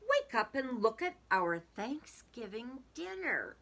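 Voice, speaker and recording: A woman's voice: on the high side, big pitch swings, slow pace, vocal fry, fairly bright tone, full character voice. A woman voicing an eager, gleeful storybook woman who savors her words with exclamatory emphasis. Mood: excited. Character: eager, gleeful woman fattening a turkey for dinner.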